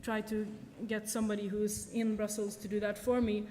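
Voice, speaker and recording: A woman's voice: mid-range voice, monotone, normal speed, dark tone. Woman speaking in a reverberant hall. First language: Swedish. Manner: thinks aloud with frequent ums and restarts. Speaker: conference speaker. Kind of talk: conference talk and Q&A answers. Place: large lecture hall. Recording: long hall reverb, faint noise.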